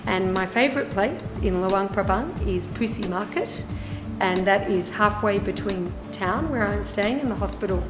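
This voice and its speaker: Australian woman, hospital volunteer, reflective interview, mid pitch, roomy echo, music behind. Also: moderate pace. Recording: echoey room, music underneath.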